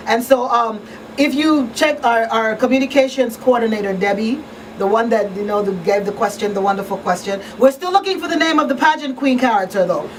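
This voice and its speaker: A woman with a fairly high voice talks as a community radio host. Her delivery is conversational, earnest and emphatic. She sounds set back from the microphone, with faint noise in the studio. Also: a little fast, far from the mic, low-quality recording. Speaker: talk show host and advocate. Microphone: studio microphone on boom arm.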